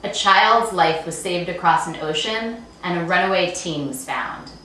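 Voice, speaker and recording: Woman, very echoey room, off-mic, breezy playful promo pitch, chatty scripted delivery. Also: unhurried, bright, forceful tone. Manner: breezy, playful, asks and answers rhetorical questions. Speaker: author promoting her book and talks. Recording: very echoey room, distant mic, faint noise.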